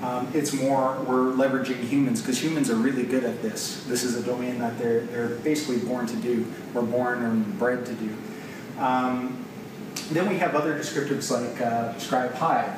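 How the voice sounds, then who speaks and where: A man's voice: mid-range voice, steady tone, normal speed. A man in his thirties with a Canadian accent, giving an informal, explanatory presentation and thinking aloud in a conversational way. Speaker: software developer presenting a product. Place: large open office loft, indoors. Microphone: camera mic a few metres away. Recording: echoey open room, distant camera mic, noisy. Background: clear background room noise.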